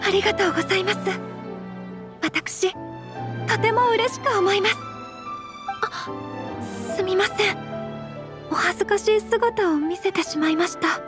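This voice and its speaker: A woman voicing a refined, shy young noblewoman in an anime game, very polite, formal and soft-spoken. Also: extremely high pitch, a little slow, pauses often, music in the background. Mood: moved, grateful. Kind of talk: Japanese anime game voice acting.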